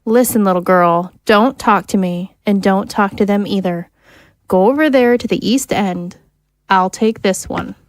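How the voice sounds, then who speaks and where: A woman's voice: medium pitch, a little slow, natural voice. An actress playing a bossy, hostile female prison guard, sarcastic and snappish, in an audio drama. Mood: bossy irritation.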